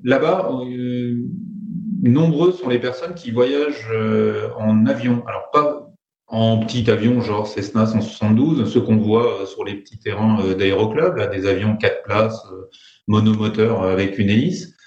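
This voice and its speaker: A man with a French accent telling flying stories in conversation, pausing often with fillers and restarts. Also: fairly low pitch, steady tone, darkish tone. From France. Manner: hesitant, many fillers and restarts. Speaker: private pilot guest. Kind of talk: conversational storytelling. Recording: roomy, dull lo-fi sound.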